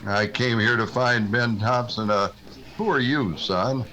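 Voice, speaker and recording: An actor playing a stern, terse western marshal, commanding in dialogue and narration in a radio drama. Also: male voice, medium pitch, measured pace, pushed and bright. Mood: brisk authority. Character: stern, laconic frontier marshal, authoritative narrator.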